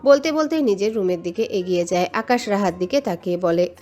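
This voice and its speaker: A woman narrates a scripted romantic tale. Her voice sits at an ordinary female pitch, and she tells it as drama while music plays underneath. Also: expressive, a little fast, a little mellow. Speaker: story narrator. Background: background music.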